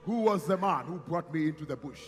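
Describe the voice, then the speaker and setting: A proud, imperious West African king , played by a man in a stage tragedy. His fairly high voice demands answers insistently and echoes around the theatre hall. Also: animated, fairly quick, close to an everyday voice. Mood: proud, demanding. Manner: insistent, imperious, repeats questions. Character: proud, commanding, agitated king demanding the truth. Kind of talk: projected stage tragedy acting.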